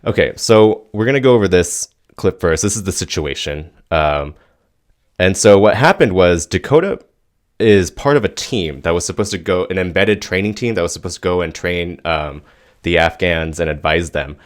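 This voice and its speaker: A man's voice: deep voice, normal speed. A man hosting a casual talk, explaining things in an informal, hesitant way. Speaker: host introducing and explaining clips.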